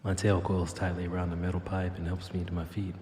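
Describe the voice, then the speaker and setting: A man's voice: deep voice, flat delivery, quick pace, a little mellow, lightly acted. A man voicing a flippant, rambling cyborg who narrates his own escape in an audio drama. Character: wisecracking male cyborg with a robotic tail, dazed and flippant. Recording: echoey, long reverb, noisy background.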